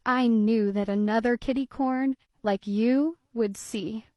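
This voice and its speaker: A woman reading aloud voices a big, kind male unicorn in a storybook, speaking softly and slowly. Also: on the high side, fairly even intonation, no room sound, muffled recording. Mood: confiding. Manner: soft and confiding.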